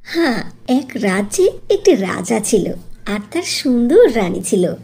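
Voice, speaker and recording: A woman's voice: a little high, big pitch swings, unhurried, mellow, slightly rough, heavily acted. A woman voicing a patient, playful young mother in a cartoon, with a coaxing way of talking. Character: patient, playful young village mother telling bedtime stories. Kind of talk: Bengali cartoon dubbing.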